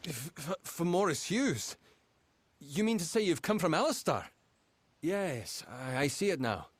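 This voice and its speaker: An actor playing an officious, self-important gate registrar in a video game, speaking in a formal, fussy manner. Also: male voice, a little high, very animated, a little slow, breathy edge, dry recording, full character voice. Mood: flustered. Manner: formal, fussy, stammers when flustered.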